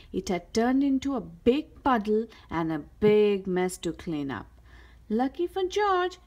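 A woman reading a children's picture book aloud as a storyteller, with careful, expressive delivery. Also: on the high side. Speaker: host of a read-aloud story channel.

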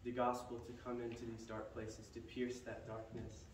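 A young man giving a halting, earnest ministry update in a large church sanctuary, heard from a distant camera with no microphone. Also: mid-range voice, monotone. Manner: earnest, slow and halting with fillers. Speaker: missionary guest speaker. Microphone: far camera, no microphone. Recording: echoey hall from far off, background hiss.

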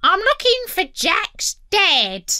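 A woman's voice: very high-pitched, huge pitch swings, slow pace, sharp tone, dry recording, clean studio sound, voice pushed up, exaggerated voice. A woman voicing a perky parrot in a children's storybook reading. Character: squawking, podgy pirate's messenger parrot.

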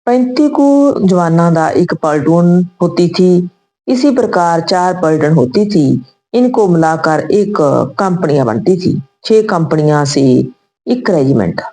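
A woman's voice: lowish voice, lively intonation, unhurried, warm tone, faint music behind, poor audio. A woman voicing a wistful, regretful old retired soldier reminiscing in a told story. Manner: reminiscing, sentimental.

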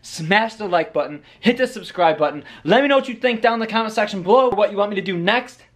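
Animated young man, high-pitched strained voice. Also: quick pace. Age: young adult. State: voice strained, nearly gone from shouting. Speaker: prank video host. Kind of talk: casual vlog chat. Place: living room, indoors. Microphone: camera at arm's length. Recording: echoey room, some background noise.

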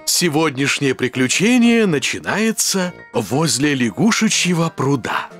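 A man's voice: a little high, big pitch swings, unhurried, over music. A man playing a storybook narrator, reading scripted narration for a children's cartoon. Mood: storytelling.

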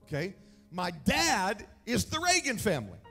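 A man, preaching an energetic sermon with a handheld mic on a large church stage, emphatic and shouting key points. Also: sits high, moderate pace, vocal fry, soft background music. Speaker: pastor. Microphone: handheld microphone. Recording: echoey hall, mic set back.

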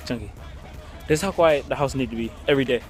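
A man's voice: mid-range voice, fast, faint music behind, a faint crowd. A teenage boy chatting casually and playfully into a handheld camera as he vlogs on the move. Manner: playful, teasing. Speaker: vlogger. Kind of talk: casual handheld travel vlog chat. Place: on the move, outdoors on streets and aboard transit. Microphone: handheld camera at arm's length.

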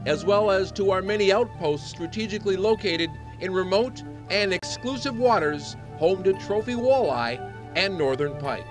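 A man's voice: sits high, animated, moderate pace. A man reading a polished, upbeat promotional voice-over. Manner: upbeat, polished sales delivery. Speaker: promotional narrator. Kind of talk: scripted voice-over narration. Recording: voice-over over loud music.

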